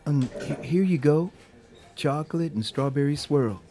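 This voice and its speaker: An actor playing a nervous, apologetic fast-food manager in an audio drama, stammering, halting and eager to please. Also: male voice, fairly high pitch, mellow, soft background music, natural voice. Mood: nervous, placating.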